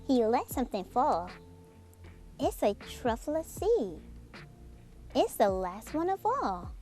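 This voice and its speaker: Casting: female narrator for a picture book, highish pitch, animated intonation, soft music bed. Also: takes it slowly. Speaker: storybook narrator. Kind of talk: children's read-aloud narration.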